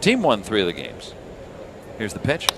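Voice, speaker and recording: An American man doing live radio play-by-play of a baseball game in short, measured phrases with asides between pitches. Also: huge pitch swings, a little hiss or hum. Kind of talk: live sports commentary with ad-libbed asides.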